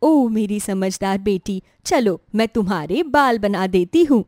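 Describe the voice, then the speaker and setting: A woman voicing a caring middle-aged mother who gently scolds and soothes in an earnest way. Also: medium pitch, lively intonation, a little slow, no echo, studio quality, voice pushed down, lightly acted. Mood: soothing.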